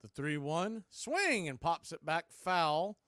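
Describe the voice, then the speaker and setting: Male play-by-play baseball announcer. Crisp, slightly high voice, very dry sound, clipped live calls. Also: big pitch swings, takes it slowly, fairly bright tone, no room sound. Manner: clipped phrases, calls rising on the action. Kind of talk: live play-by-play commentary on a stream.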